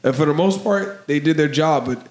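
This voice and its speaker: A man co-hosting a podcast, slightly hoarse from allergies, rambling through casual sports talk with lots of "man" and "bro". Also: fairly high pitch, normal speed, echoey room. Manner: rambling, filler-heavy, says "man" and "bro". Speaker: podcast co-host.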